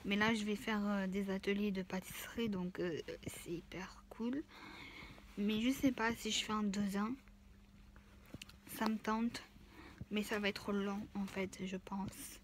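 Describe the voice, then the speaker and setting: A woman in her mid-twenties with the flu and a blocked nose talks casually to the camera. She rambles and hesitates, filling pauses with fillers. Faint noise sits under her voice. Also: mid-range voice, fairly even intonation. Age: 20s. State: stuffy-nosed from the flu. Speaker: vlogger. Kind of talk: casual chat.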